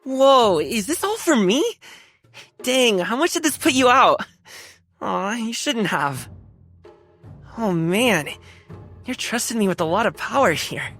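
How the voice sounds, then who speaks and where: An eager young male martial-arts performer from a video game. His delivery is animated and swings widely in pitch, with a friendly, slangy, boyish manner. He comes across as good-natured and keen, with music playing along. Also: slow pace, pauses often, heavily acted. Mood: grateful, excited. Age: young adult. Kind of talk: video game character voice lines.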